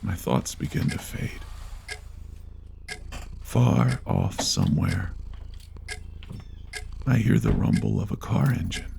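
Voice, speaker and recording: A man reading a first-person horror story aloud in a slow, low and grave delivery. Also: creaky, background noise. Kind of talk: scripted horror narration.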